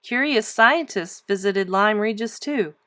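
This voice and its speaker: A woman reading a children's storybook aloud like a teacher, with emphatic, expressive storytelling. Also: mid-range voice, a little slow, no echo, clean studio sound.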